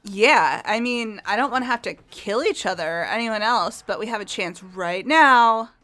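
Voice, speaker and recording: A woman voicing an earnest, energetic teenage girl in a video game, forthright and emphatic. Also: mid-range voice, moderate pace. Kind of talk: amateur voice acting of a video game.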